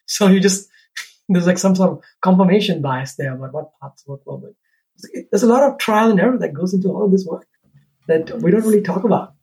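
A man's voice: high voice, fairly quick, a little mellow, low-quality recording. Reflective man from Sri Lanka. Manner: reflective, rambling, tags with "right?". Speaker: podcast guest, business owner. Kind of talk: casual conversation.

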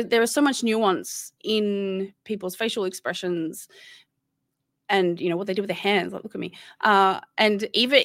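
A woman's voice: mid-range voice, a bit bright, dry recording. An Australian woman in her thirties, a guest chatting casually and candidly, rambling with many restarts. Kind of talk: casual interview chat.

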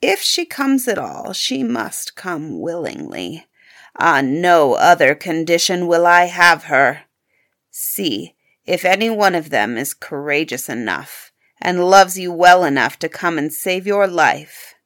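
A woman's voice: on the low side, very animated, takes it slowly, a bit bright, full character voice. A woman voicing a frightful, angry male beast in a storybook, stern and commanding in manner. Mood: grim, commanding. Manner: stern, menacing, grim. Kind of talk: storybook character voice.

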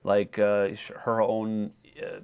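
A man's voice: on the low side, little pitch movement, takes it slowly, creaky, clear, resonant tone, dull audio. A man co-hosting a podcast, giving his opinions on film in a casual, tentative way. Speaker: podcast co-host. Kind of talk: casual film review chat.

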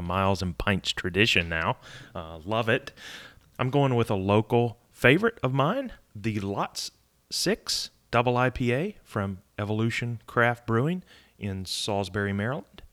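A man's voice: fairly low pitch, lively intonation, normal speed, fairly bright tone, clear, resonant tone. A man co-hosting a podcast, easygoing and jokey. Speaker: podcast co-host. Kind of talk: casual chat.

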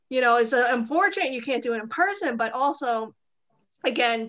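A woman's voice: a little high, a bit bright. A woman with a Boston accent hosting a casual interview podcast, chatty and encouraging. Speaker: podcast host.

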